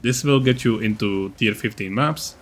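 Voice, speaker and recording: A man's voice: on the low side, normal speed, a bit bright, faint music behind. A man narrating a video game guide as its creator, in an informal, matter-of-fact, explanatory way. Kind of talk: casual explanatory build-guide voice-over.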